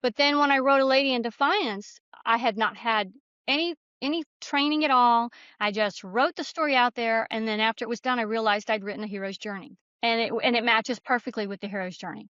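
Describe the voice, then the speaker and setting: A woman's voice: on the high side, brisk, pushed and bright, smooth, no room sound. A woman guest telling personal stories casually in an anecdotal way, on slightly dull audio. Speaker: podcast guest, novelist.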